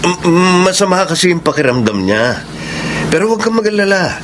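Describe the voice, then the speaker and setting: A Filipino actor playing a young, obedient, smooth-talking son in a radio drama. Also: male voice, sits high, sing-song, a little fast, background noise. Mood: reassuring.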